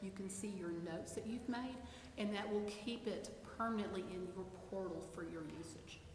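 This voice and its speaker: A woman's voice: mid-range voice, normal speed. A woman explaining points in a public meeting discussion. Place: council chamber. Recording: noisy, echoey council chamber.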